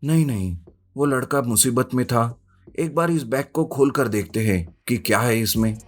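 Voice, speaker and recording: A man's voice: mid-range voice, moderate pace, close to an everyday voice. A man voicing a kind-hearted, earnest poor onion seller in a story. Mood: earnest, reassuring. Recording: music behind the voice.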